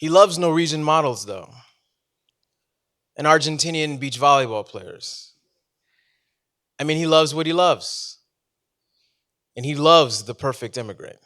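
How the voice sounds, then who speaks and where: A man from New City, performing spoken-word poetry live in an animated, playful way and talking directly to his audience. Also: fairly high pitch, unhurried, long pauses. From New York City, United States. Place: live event before an audience.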